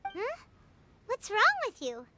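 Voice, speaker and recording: A woman voicing a tiny, childlike fairy companion in an anime video game, squeaky, excitable and blunt. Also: slow, exaggerated voice. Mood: surprised, suspicious. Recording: clear music behind the voice.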